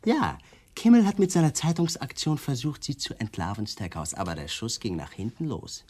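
An actor playing a mocking, patronizing police interrogator in a German radio crime drama. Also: male voice, mid-range voice, lively intonation, moderate pace, darkish tone, close to an everyday voice. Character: sardonic, condescending male police interrogator, baiting suspects.

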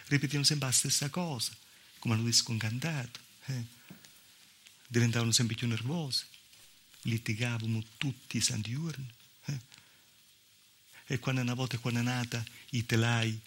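A man's voice: mid-range voice, fairly even intonation, moderate pace, gravelly, pauses often, a bit bright. A man with a southern Italian accent playing a wry, plaintive village woman telling her story in a conversational theatrical monologue. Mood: weary, resigned. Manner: conversational, punctuated with rhetorical "eh". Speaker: stage actor. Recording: live theatre recording with noise.

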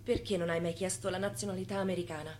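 An actress playing an estranged former wife in a radio drama, restrained and melancholy, putting short, measured, probing questions. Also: female voice, on the low side, steady tone, darkish tone, a little hiss or hum, lightly acted. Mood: melancholy, direct.

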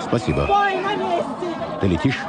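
An actor playing a grave, idealistic anti-war protester in a radio drama, speaking in a measured, earnest way. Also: male voice, close to an everyday voice. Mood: tense, subdued. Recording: noisy outdoor street crowd behind.

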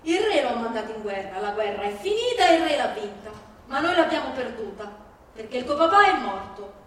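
A woman's voice: on the high side, sing-song, brisk, slight background noise. A woman playing an old, weary grandmother, plaintive and resigned, in a staged storybook tale. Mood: bitter, grieving. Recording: echoey hall from far off, music behind.

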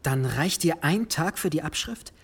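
An actor in a radio drama playing a bishop's envoy, measured, flattering and insistent. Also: male voice, fairly high pitch, vocal fry, breathy edge, heavily acted. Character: smooth, commanding male envoy of a bishop.

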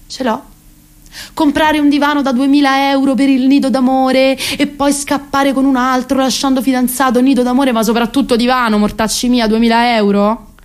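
High-pitched roman italian woman about 30, actress doing a neurotic self-ironic rambler in a radio play, stammers then fires off lists. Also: flat delivery, normal speed, close to an everyday voice. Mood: exasperated, ironic. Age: around 30. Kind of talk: theatrical comic monologue for radio.

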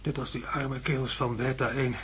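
An actor playing an exhausted, delirious astronaut in a radio drama, muttering haltingly in broken, repeated phrases. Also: male voice, mid-range voice, little pitch movement, a bit bright, slight background noise, lightly acted. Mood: anguished delirium. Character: exhausted delirious male astronaut reliving a perilous landing.

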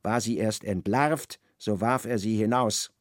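A man narrating a literary radio play with measured, expressive storytelling. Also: lowish voice, dry recording, clean studio sound.

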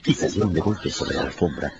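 A man's voice: extremely low pitch, expressive, moderate pace, lo-fi sound, over a noisy crowd. A man delivering dramatic scripted narration for a radio story.

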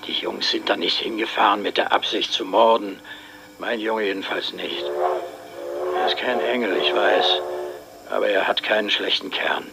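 A man's voice: lowish voice, normal speed, gravelly, noisy background. An actor playing a troubled older father who defends his son in a radio drama interview. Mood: defensive, resigned.

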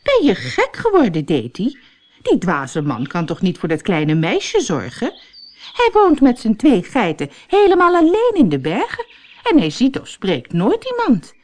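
A woman voicing an excitable, gossipy village woman who exclaims in a shrill, scandalized way. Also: measured pace, full character voice. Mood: shocked.

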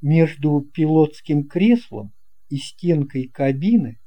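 A narrator reading a children's story with deliberate, measured phrasing. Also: medium pitch, lively intonation, warm tone. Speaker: audiobook narrator. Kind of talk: scripted narration of a children's tale.

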